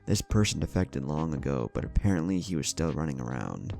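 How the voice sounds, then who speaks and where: A man's voice: rumbling low, animated, fairly quick, vocal fry, a little mellow, exaggerated voice. A man voicing a defiant, earnest young female ninja in a narrated fantasy story. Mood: shocked.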